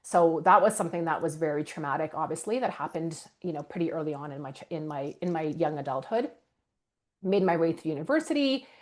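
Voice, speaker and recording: A woman's voice: deep voice, lively intonation, fairly quick. A middle-aged woman from Canada, whose first language is Ukrainian, earnestly and reflectively telling her life story as a podcast guest. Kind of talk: candid personal storytelling.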